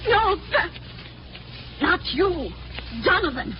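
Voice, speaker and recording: An actress playing a fragile but devoted wife, speaking in hesitant, broken phrases in 1940s radio drama style. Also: female voice, very high voice, very animated, takes it slowly, full character voice. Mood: wounded accusing. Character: wounded, devoted wife, fragile yet determined. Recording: dull, noisy 1940s radio recording.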